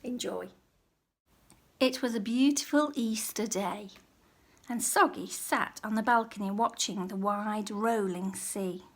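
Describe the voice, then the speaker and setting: A woman reading a children's picture book aloud as a teacher, in a measured, expressive storytelling manner. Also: medium pitch. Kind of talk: storybook read-aloud, scripted narration.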